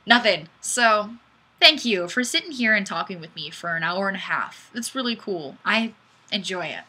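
A woman's voice: medium pitch, moderate pace, breathy edge. Bubbly young woman hosting a webcam livestream. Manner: bubbly and goofy, bursts of squealing excitement. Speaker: livestream host. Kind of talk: casual livestream chat reading comments. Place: small indoor room, plain wall. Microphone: webcam mic.

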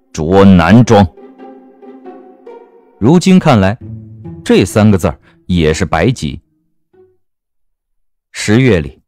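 Lively low-voiced male storyteller. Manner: wry, theatrical storytelling. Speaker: audiobook narrator. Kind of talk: expressive scripted narration of a comic period novel. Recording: music bed under the voice.

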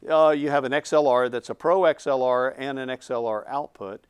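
A man's voice: medium pitch, moderate pace, clear, resonant tone. A man in his fifties giving an unscripted technical explanation of a product as its designer. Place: indoor studio set, seated.

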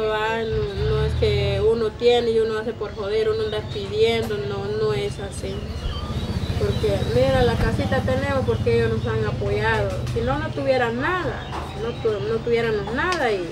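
A middle-aged woman with a Guatemalan Spanish accent, speaking slowly and earnestly in an interview on her patio. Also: a little high, noisy background. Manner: slow, halting and earnest, repeats "va". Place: outdoor concrete-block patio of a home. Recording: outdoor sound with birds behind.

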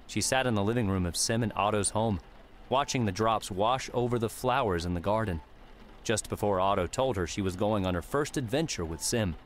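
A man's voice: lowish voice, brisk, slight background noise. A man reading scripted fiction as an audiobook narrator.

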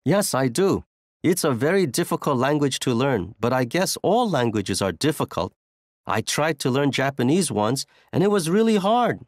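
Animated, chatty male language learner, acted by a man. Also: mid-range voice, moderate pace, dry recording, clean studio sound, close to an everyday voice. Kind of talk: scripted small talk for an English listening exercise.

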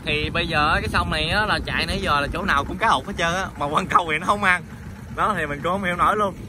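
Man, southern Vietnamese accent, Mekong Delta, fishing vlogger, chatty, easygoing, slightly high voice, outdoor river noise. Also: fast talker. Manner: chatty, easygoing, excited bursts. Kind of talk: casual vlog narration. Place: wooden boat on a river outdoors.

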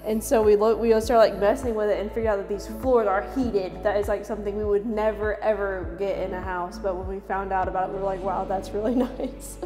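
A woman's voice: medium pitch, steady tone, brisk, hardly any pauses, warm tone. A young woman chatting casually and upbeat as she tours a new house, laughing often. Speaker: vlogger giving a house tour. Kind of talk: casual house tour narration. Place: large empty house, indoors. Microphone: camera mic from a few steps away. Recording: roomy reverb in empty rooms, music underneath.

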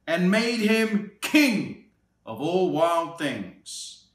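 A man reads a children's picture book aloud at an unhurried pace, his voice sitting fairly high and full of play. He stops for cheerful asides and small laughs between pages. The sound is roomy and a bit distant, picked up by a camera a few feet away. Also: slight background noise. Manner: slow and expressive, with playful asides and laughs. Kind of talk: children's storytime read-aloud. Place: indoor room, seated in an armchair. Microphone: camera on a tripod a few feet away.

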